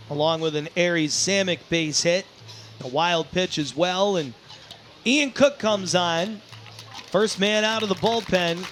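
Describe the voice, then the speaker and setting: A man calling live baseball play-by-play, descriptive between plays and excitable on big ones. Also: high voice. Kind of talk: live sports play-by-play commentary. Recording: crowd and music behind commentary. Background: ballpark crowd, music.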